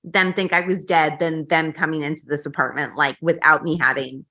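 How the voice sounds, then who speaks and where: A woman's voice: low-pitched, fairly even intonation, a little fast, bright, forceful tone. A woman guest on a podcast, chatting casually in a rambling way, filling her talk with "like". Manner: rambling, heavy use of "like". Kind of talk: casual chat.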